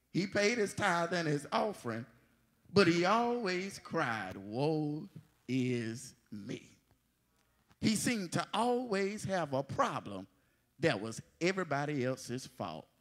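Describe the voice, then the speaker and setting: A man's voice: a little high, big pitch swings, slow pace. A man narrating a church stage play like a sermon, in a dramatic, declamatory way. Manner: declamatory, dramatic, swelling to shouts. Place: large church hall, at a lectern. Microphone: handheld microphone. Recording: live church hall recording with music.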